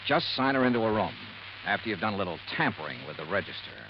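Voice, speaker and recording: An actor playing a shrewd, commanding, unflappable insurance investigator who narrates in old radio detective style. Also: male voice, fairly low pitch, animated, fast talker, background noise, natural voice. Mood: commanding, curt. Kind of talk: golden age radio detective acting and narration. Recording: muffled old radio recording, loud music.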